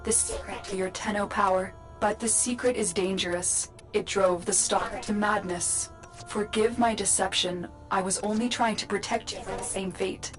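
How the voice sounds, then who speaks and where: A calm female guide acted by a woman in a video game, solemn and protective. Her mid-pitched voice is measured and earnest, with music playing clearly behind it. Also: natural voice. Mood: solemn confession. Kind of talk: video game character acting.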